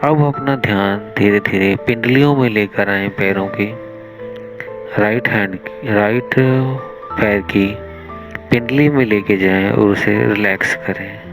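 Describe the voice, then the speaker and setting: A man with an Indian accent leading a guided meditation in a measured, instructional way, pausing between short phrases. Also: fairly low pitch, poor audio. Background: music.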